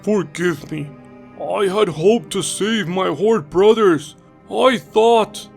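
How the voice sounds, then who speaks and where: Noble horned warrior, impassioned and anguished, very slow emphatic lines over music, for fantasy fan fiction. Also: male voice, sits high, creaky, voice pushed up, full character voice. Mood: sorrowful.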